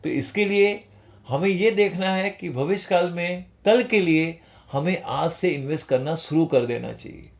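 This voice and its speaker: Man explaining stock investing, higher voice. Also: unhurried, creaky. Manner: conversational, repeats "dosto", mixes English terms. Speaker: stock market educator. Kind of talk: informal finance talk to viewers.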